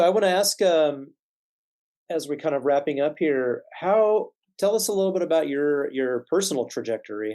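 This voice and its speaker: A man hosting an interview, asking relaxed, conversational questions. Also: medium pitch. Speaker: podcast host.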